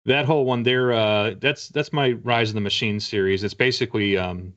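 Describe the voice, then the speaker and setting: A man's voice: lowish voice, steady tone, normal speed, a bit bright. A middle-aged man talking casually as an interview guest, hesitant and wry, over a video call. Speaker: guest, author. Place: book-lined room at home. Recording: dull lo-fi video call audio.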